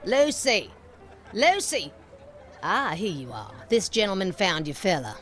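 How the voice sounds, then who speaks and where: Slow, swooping dockside madam. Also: female voice, mid-range voice, huge pitch swings, fairly bright tone, soft background music. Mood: calling out. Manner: drawling, colloquial. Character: folksy, familiar dockside madam, loud and obliging. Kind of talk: video game cutscene acting.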